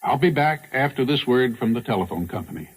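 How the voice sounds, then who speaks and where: Husky-voiced male radio host. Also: mid-range voice, expressive, poor audio. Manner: reminiscing, measured announcer delivery. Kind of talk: scripted radio narration.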